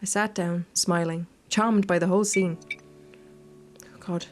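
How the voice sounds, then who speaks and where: A woman's voice: on the low side, steady tone, unhurried. A woman hosting a gaming video, reading a horror story game aloud with unscripted reactions. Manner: sweary, unscripted asides. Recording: faint music behind the voice. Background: game music.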